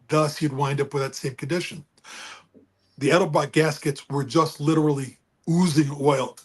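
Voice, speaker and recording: A man with a booming voice chatting casually and rambling about engines over a remote call. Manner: rambling, folksy, restarts often. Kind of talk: casual car chat. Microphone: remote call with speaker feedback.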